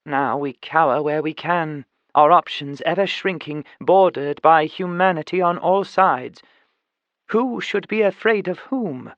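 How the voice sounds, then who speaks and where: A man's voice: fairly high pitch, measured pace, fairly bright tone, no echo, highs cut off, voice pushed up. A man voicing a huge female chimpanzee, an articulate, earnest activist with an educated accent, in an audiobook. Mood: indignant lecturing. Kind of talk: audiobook character voice.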